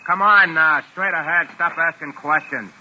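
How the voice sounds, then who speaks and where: A tough, sharp-voiced American gangster. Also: male voice, fairly high pitch, moderate pace. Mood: gruff, bossy. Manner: slangy, bossy, threatening. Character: tough, menacing American gangster henchman with a gun. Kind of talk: 1940s radio acting. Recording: noisy vintage radio broadcast recording.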